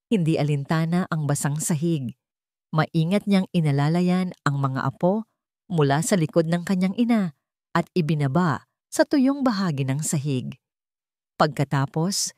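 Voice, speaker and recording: A woman storyteller reading a scripted drama in deliberate, short dramatic phrases. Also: lowish voice, a little mellow, no room sound, studio quality.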